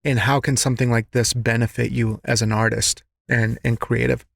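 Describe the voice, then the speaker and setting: Guy podcast host, medium pitch, super dry audio, chatty and encouraging with his guest. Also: little pitch movement, normal speed, creaky, no echo. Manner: appreciative, encouraging. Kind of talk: conversational interview.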